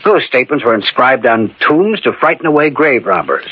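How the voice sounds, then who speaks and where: An actor playing a rational, protective psychiatrist, insistent and reassuring, in a 1940s radio mystery. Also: male voice, medium pitch, big pitch swings, normal speed, slightly rough. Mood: firm, insistent. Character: firm, rational psychiatrist, protective family friend. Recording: muffled, noisy 1940s radio recording.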